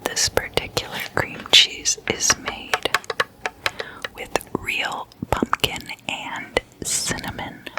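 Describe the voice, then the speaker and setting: An ASMR host whispering in a breathy, hushed and deliberate way, as if chatting at a sleepover. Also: low-quality recording.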